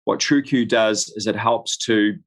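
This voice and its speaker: A middle-aged man from New Zealand giving an informal live webinar introduction as a business expert, speaking in halting, stop-start phrases. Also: lowish voice, fairly even intonation. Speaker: guest expert, business founder. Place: room at home.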